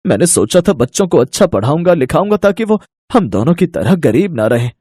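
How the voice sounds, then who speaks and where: Casting: desperate poor father voiced by a man, sorrowful storybook pleading, slightly high male pitch. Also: very animated, fairly quick, a little mellow, breathy edge, no echo, studio quality. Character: helpless, poor, lame labourer father.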